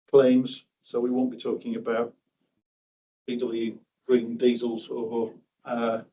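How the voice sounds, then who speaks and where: A man's voice: mid-range voice, dark tone, far from the mic, muffled recording. A middle-aged man from South Yorkshire giving a conference talk on railway projects, hesitant and self-deprecating, often repeating words. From South Yorkshire, England. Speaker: railway project engineer, presenter. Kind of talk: conference presentation. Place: meeting room.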